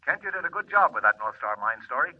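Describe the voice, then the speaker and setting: An actor playing a brisk, gruffly genial newspaper boss, punchy and hearty, in 1940s radio style. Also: male voice, mid-range voice, sing-song. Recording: dull old radio telephone scene.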